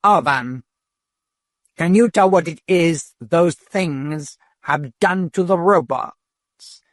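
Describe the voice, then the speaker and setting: A jumpy official whose voice goes shrill and urgent as his orders are ignored, as a male reader puts him on. It rises well above the reader's own low voice. The sound stays dry and close. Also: measured pace, vocal fry, warm tone, no room sound. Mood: frightened. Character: nervous, frightened male official.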